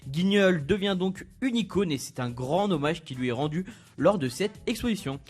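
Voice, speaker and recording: A young man reading a weekly culture events column on a radio show in a brisk, informative way. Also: high-pitched, lively intonation, slightly rough. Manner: brisk, informative, laughs easily.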